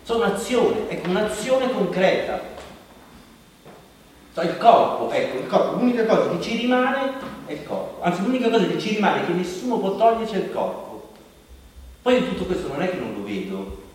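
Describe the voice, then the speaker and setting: An actor playing an anxious, haunted man, in a halting, repetitive, self-questioning theatrical monologue. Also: high-pitched, sing-song. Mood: earnest, searching. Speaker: stage actor. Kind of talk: theatrical monologue broadcast on radio. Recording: very echoey hall, distant mic, faint noise.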